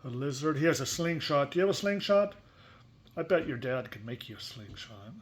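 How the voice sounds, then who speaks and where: A man's voice: mid-range voice, animated, brisk. A man reading a picture book aloud expressively, with casual, chatty asides and questions to a young listener. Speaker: story reader.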